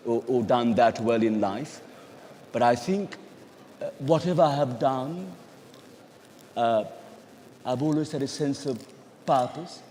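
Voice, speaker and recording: A middle-aged man with a Bangladeshi accent addresses an awards ceremony in a large reverberant hall. His voice is mid-pitched, and he speaks in measured, halting phrases, encouraging his listeners as a guest speaker. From rural Bangladesh. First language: Bengali. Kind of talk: motivational speech at an awards ceremony. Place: large indoor event hall. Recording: echoey hall with long reverb.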